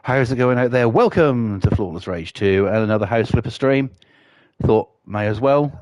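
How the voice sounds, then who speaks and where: A man's voice: mid-range voice, lively intonation, moderate pace, darkish tone, dry recording. Sweary man with a cold. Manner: casual, sweary, rambling with fillers. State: has a cold. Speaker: streamer. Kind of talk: casual game stream chat.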